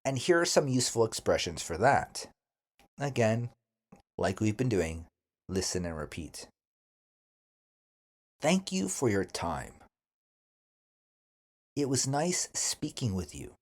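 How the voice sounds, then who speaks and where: A man teaching an English lesson, speaking deliberately and enunciating carefully as he gives model phrases for learners to repeat. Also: lowish voice, sing-song.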